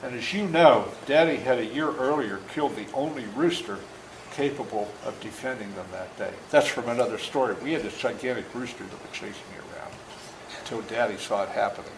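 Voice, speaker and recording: A man in his seventies reading a story aloud to children in a folksy, interactive, animated way, as an author before a young audience. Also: medium pitch, fairly quick. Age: 70s. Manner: folksy, interactive, acts out moments. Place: indoor room before a school audience. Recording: roomy echo, faint background noise.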